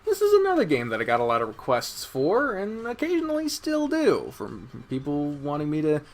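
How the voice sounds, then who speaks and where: A man's voice: on the high side, huge pitch swings, normal speed. A man giving a casual, opinionated countdown review as a video host, partly scripted, with a blunt, sweary manner. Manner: sweary, blunt, chuckling asides.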